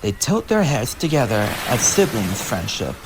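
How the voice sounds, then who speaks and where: An amateur narrator reading a storybook aloud in a simple, earnest, slightly halting way. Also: medium pitch, very animated, noisy background.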